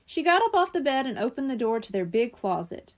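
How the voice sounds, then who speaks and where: A woman reading a children's book aloud in expressive scripted narration. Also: sits high, fairly quick. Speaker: audiobook reader.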